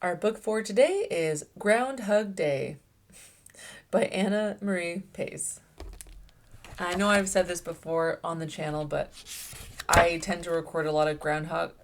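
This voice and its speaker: A woman's voice: lowish voice, vocal fry, a little hiss or hum. A woman hosting a children's read-aloud, narrating a storybook expressively.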